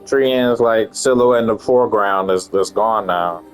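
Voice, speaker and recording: A man's voice: lowish voice, measured pace, soft background music. A man giving casual, offhand reaction commentary while playing a story-driven game, swearing mildly. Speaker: gamer commenting on playthrough.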